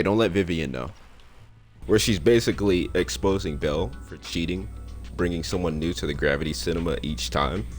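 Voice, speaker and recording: A young man giving a casual, slangy game story recap and reaction in a laid-back, rambling way. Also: low-pitched. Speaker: content creator.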